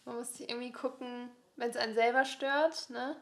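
A young woman with a fairly high voice with a lot of creak. She chats informally and agreeably as the co-host of a podcast. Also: fairly even intonation, normal speed. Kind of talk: casual chat.

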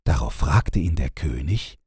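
A man narrating a scripted fairy tale as an audiobook, in a measured storytelling manner. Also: rumbling low, lively intonation, darkish tone, breathy edge, no echo, studio quality.

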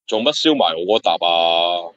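Deep, easygoing bartender played by a man. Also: animated, normal speed, fairly bright tone, muffled recording. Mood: jokey, friendly. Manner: jokey, good-natured. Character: easygoing macho bartender, good-natured with his customers. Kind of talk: Cantonese audio drama acting.

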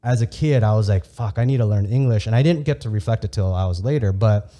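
Low, mellow-voiced man talking candidly. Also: fast talker. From United States. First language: Vietnamese. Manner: candid, heated, frequent swearing. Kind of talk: casual podcast chat.

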